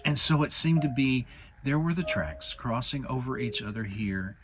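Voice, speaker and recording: A man's voice: mid-range voice, lively intonation, moderate pace. A man narrating a children's storybook as a dramatic audiobook reading. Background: music.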